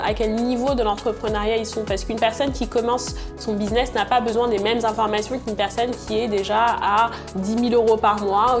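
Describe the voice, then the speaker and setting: Young woman, interview answers, lots of euh and restarts, music in the back. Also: fairly high pitch. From Senegal, born in Congo, raised in France. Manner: hesitant, many fillers and restarts. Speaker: entrepreneur and channel creator.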